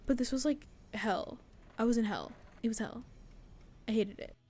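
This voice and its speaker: A woman's voice: a little high, moderate pace, darkish tone, breathy edge, soft background music. A young woman student vlogging casually, rambling with many fillers and restarts. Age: young adult. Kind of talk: casual devlog chat.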